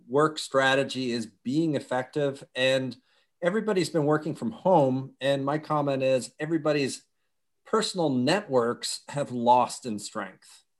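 A middle-aged man raised in Europe, a company founder talking energetically in long run-on explanations during an interview. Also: mid-range voice. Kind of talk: conversational interview.

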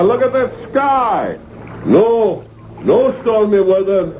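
A man's voice: high voice, animated, drawn-out words, a little mellow, heavily acted. An actor playing a roguish West Indian island boatman in a lilting, folksy dialect in a 1970s radio drama. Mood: teasing, confident. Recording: dull noisy old radio recording.

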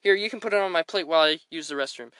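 A woman voicing a mild old grandpa pig who talks low and slow in a homemade cartoon. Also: fairly bright tone, no room sound.

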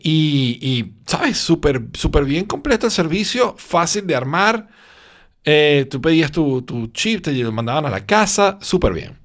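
A man's voice: a little high, sing-song, unhurried, fairly bright tone. A sleepy man from Venezuela with a Venezuelan accent, hosting a podcast and telling a rambling story full of little tag questions. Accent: Venezuelan Spanish. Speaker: podcast host. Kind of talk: casual podcast chat.

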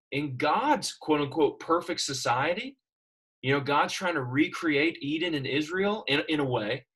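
A man's voice: fairly high pitch, slightly rough. A man teaching a classroom Bible lesson, asking his students questions as he explains. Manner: animated, asks students questions.

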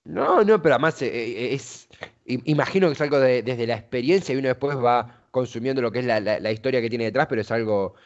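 A man's voice: mid-range voice, fast talker. Argentine guy doing an interview, kinda wordy n hesitant, muffled lo-fi audio. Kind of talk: conversational radio-style interview.

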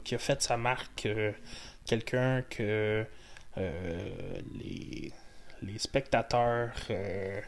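Québécois dude hosting his podcast, lots of euhs, jokey and casual. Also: medium pitch. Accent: Québécois French. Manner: hesitant, full of fillers, joking. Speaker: podcast host. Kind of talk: casual interview chat.

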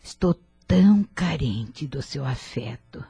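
An actress playing a frail, gentle elderly mother in a radio drama, speaking slowly and tenderly. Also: a bass voice, animated, slightly rough, dry recording, exaggerated voice. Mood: affectionate. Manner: slow, tender, tearful speech.

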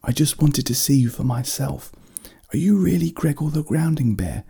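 A curious, timid little girl, as a man narrator puts her on, speaks in a raised voice with a soft, mellow tone. She sounds hesitant and questioning in this storybook reading. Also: a little high, expressive, normal speed, gravelly, husky, voice pushed up, exaggerated voice. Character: timid but curious young girl, Gregor's listener.

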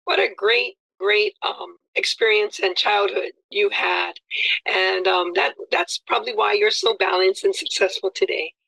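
Bright, gravelly woman hosting an interview. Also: medium pitch, fairly even intonation, measured pace, low-quality recording. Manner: effusive, complimentary, many fillers. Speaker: interview host. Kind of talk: friendly interview chat.

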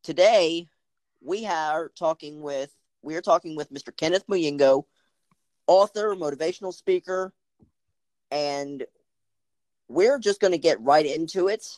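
A man's voice: on the high side, unhurried, pauses often, darkish tone, dry recording. A man hosting a podcast, reading a formal introduction and then asking interview questions. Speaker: podcast host.

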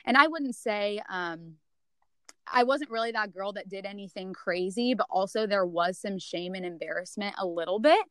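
A young woman guest speaks earnestly and reflectively on a podcast, often pausing with um. Her voice is mid-pitched, smooth and clear, and the recording is dry and close. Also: no room sound. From San Francisco area, California. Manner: earnest, reflective, many ums. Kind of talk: casual interview chat.

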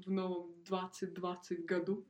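A young woman from Ukraine co-hosting a podcast, talking in a relaxed, rambling way and laughing a lot. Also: on the low side, measured pace. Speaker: podcast co-host. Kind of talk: casual chat.

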